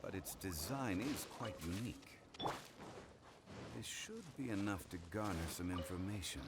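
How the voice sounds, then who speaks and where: A calm, learned gentleman from a video game. His low voice is measured and formal, yet it moves expressively across its range. Game ambience sits noisily behind him. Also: heavy background noise. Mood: composed, instructive. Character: calm, dignified, scholarly man of formal bearing. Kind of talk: video game character acting.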